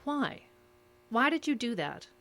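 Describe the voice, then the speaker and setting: A woman's voice: on the high side, big pitch swings, bright, forceful tone, full character voice. Cool, secretive female interrogator. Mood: cool, probing. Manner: measured, cryptic, clipped questioning. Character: controlled, secretive female interrogator, coolly insistent. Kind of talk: audio drama acting.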